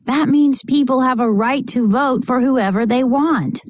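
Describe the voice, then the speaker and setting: A woman reading a children's picture book aloud in an expressive, deliberate way for young listeners. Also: high voice, no echo, clean studio sound. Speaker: storybook reader.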